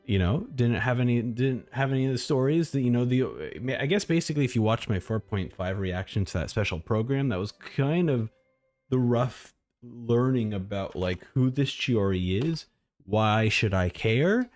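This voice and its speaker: A man's voice: medium pitch, expressive, moderate pace, a little mellow, soft background music. A man chatting casually and thinking aloud as a live streamer reacting to game trailers. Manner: rambling, informal, thinking aloud.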